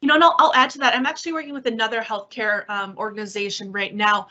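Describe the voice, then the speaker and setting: A woman's voice: on the high side, fairly even intonation, quick pace, sharp tone. A woman giving an informal, upbeat, encouraging product explanation as a technical presenter on an online call. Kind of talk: conversational webinar product explanation. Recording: slightly dull online-meeting audio.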